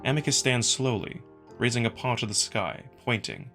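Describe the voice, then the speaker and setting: Guy reading a visual novel story in first person, mid pitched voice, music in the back. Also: animated, moderate pace, fairly bright tone. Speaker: reader performing a story.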